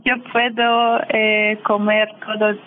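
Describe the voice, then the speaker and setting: A Russian woman with a Russian accent, speaking Spanish as a second language. She is a guest in an interview, halting and searching for words with frequent fillers. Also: mid-range voice, monotone. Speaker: guest, language teacher and film translator. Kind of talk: casual interview over a call. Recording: tinny phone line.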